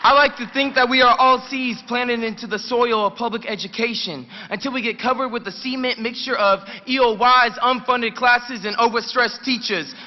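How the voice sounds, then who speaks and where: High-pitched young man declaiming in echoey hall. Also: steady tone, normal speed, few pauses. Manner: declamatory, emphatic. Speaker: student slam poet. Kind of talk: impassioned live slam poetry speech. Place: large hall at a rally. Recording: echoey hall, dull lo-fi capture.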